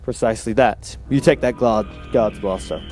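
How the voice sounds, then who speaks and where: A man playing a brisk, knowing alien secret agent in an audio drama, precise and formal in his speech. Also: lowish voice, over music, lightly acted. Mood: confident.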